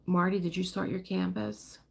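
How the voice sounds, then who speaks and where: Chatty woman with a gravelly low voice. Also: level tone, normal speed, noisy background. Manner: rambling, chatty, laughs often, reads and answers viewer comments. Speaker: livestream host. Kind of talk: casual livestream chat while diamond painting.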